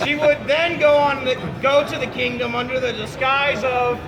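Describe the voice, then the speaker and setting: Very high male voice, theatrical comic storyteller, halting delivery, self-interrupting asides, noisy crowded venue. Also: steady tone, a crowd in the background. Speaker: storyteller leading an audience word game. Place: busy public venue with a crowd. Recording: noisy crowded public space, loud background bustle.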